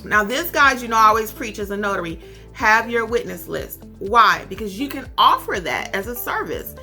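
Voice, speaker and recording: A woman's voice: on the high side, very animated, moderate pace, pushed and bright, faint music behind. An American woman giving an instructional talk as a tutorial host, stressing and repeating her key points. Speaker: instructor and host of tutorial videos for notary signing agents. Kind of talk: instructional talk with acted-out example phone calls.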